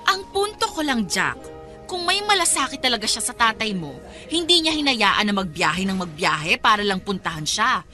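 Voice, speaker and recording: A woman playing an indignant, jealous, sharp-tongued partner in a Filipino radio drama. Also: a little high, big pitch swings, moderate pace, soft background music.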